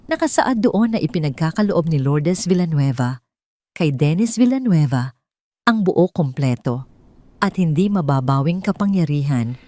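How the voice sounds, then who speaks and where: A Filipino woman narrates a scripted drama as an audio storyteller. Her voice sits somewhat low, with a very mellow, warm tone. She delivers each sentence in a deliberate, measured way. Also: lively intonation, dry recording, studio quality.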